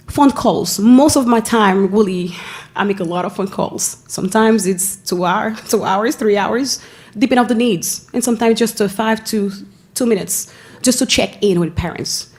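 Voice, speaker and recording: A woman from Haiti speaks with a Haitian accent at a school board meeting. Her delivery is earnest and halting, and she pauses often to find the right word. Her pitch sits in the ordinary middle range for a woman. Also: a little mellow. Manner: earnest, with frequent pauses and restarts. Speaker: school family engagement staff member.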